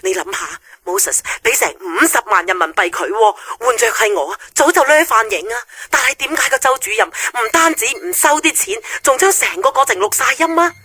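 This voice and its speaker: A woman's voice: sits high, brisk, few pauses, heavily acted. A woman playing a plucky, headstrong sister-in-law in a radio drama, chatty and confident. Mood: urgent, suspicious. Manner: animated, colloquial, confident. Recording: music bed under the dialogue.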